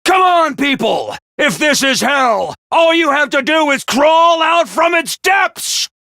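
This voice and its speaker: A bombastic little mascot commander for a video game. The voice is high and bright, with enormous melodic swoops between chirpy cheer and sly menace. Delivery is theatrical and emphatic. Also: male voice, sing-song, slow pace, gravelly, no room sound, clean studio sound, exaggerated voice. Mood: bombastic shouting. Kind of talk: animated video game voice acting.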